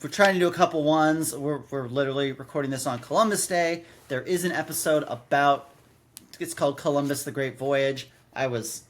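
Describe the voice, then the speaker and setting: A man's voice: on the high side, a little fast. A man of thirty-nine co-hosting a podcast in casual chat, often stammering and doubling back as he explains. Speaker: podcast co-host.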